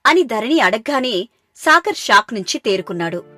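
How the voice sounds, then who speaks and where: A woman's voice: fairly high pitch, sing-song, moderate pace, sharp tone. A woman reading a scripted family story as its narrator, with music behind her. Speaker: story narrator voicing characters. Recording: background music under voice.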